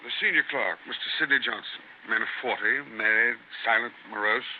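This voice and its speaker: A man's voice: medium pitch, a little fast, close to an everyday voice. A man acting a stout, fussy British government official in an old-time radio drama, speaking in a pompous, grave, formal way. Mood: formal, authoritative. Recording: narrow-band noisy vintage radio recording.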